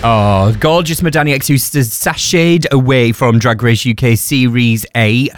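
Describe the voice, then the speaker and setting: A man's voice: mid-range voice, a little slow, slight background noise. A man hosting a morning radio show, talking in a breezy, teasing way. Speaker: radio host. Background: music.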